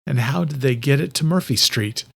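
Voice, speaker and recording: A man playing a gruff, determined, protective brother in a thriller audiobook. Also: mid-range voice, normal speed. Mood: tense questioning.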